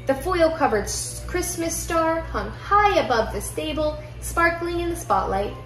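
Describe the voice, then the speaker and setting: A young woman reads a children's picture book aloud with expressive storytelling in a living room. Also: sits high, measured pace. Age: young adult. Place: indoor living room at home. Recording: roomy echo, music behind.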